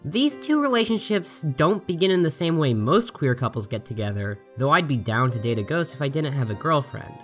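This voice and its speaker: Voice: medium pitch, a bit bright. A reviewer reading a scripted video essay in an articulate, essayistic way. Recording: voice over background music. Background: music.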